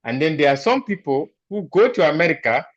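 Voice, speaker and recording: A man's voice: a little high, a little fast, no room sound, highs cut off. A man hosting a casual group talk, affirming the speakers and leaning on rhetorical questions. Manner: lively, affirming, uses rhetorical "right?". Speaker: host.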